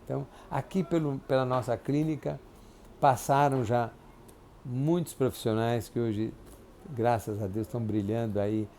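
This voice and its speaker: A man, recalling his family in an oral history interview, speaking haltingly with many restarts and tag questions. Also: medium pitch, fairly even intonation, warm tone, a little hiss or hum. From Brazil. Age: 70s. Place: clinic office.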